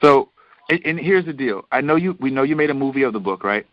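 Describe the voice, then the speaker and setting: A man's voice: medium pitch, lively intonation, quick pace, no room sound. A man interviewing conversationally over the phone, emphatic and repeating phrases as he builds his point. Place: phone call. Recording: dull lo-fi phone line.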